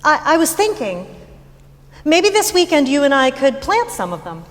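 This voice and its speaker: A woman plays a gentle, anxious stage mother with a fairly high voice. She coaxes her grieving daughter haltingly and tentatively, recorded in a hall with long reverb. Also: big pitch swings. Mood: coaxing, tentative. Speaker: actor in staged reading of musical. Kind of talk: live stage reading acting.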